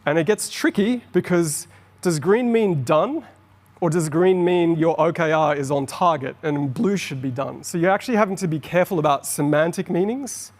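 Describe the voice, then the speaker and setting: A man's voice: a little high, a little mellow. A middle-aged Australian man giving an enthusiastic, explanatory conference talk on stage. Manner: explanatory, enthusiastic, quickens on asides. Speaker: conference presenter. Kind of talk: keynote presentation. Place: large conference hall on stage. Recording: long hall reverb.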